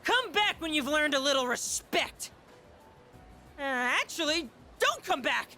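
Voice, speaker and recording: Bratty young believer, high, animated, excitable, taunting, insecure, video game acting. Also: female voice, measured pace, soft background music, heavily acted. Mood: triumphant.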